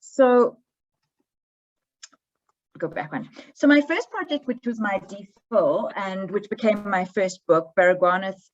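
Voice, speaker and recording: A middle-aged South African woman speaks over a slightly dull, lo-fi video call. She talks at a middle pitch in a loose, conversational way, pausing on ums and restarting her sentences as she goes. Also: expressive, a little hiss or hum. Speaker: history professor. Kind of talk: online academic presentation. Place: holiday home.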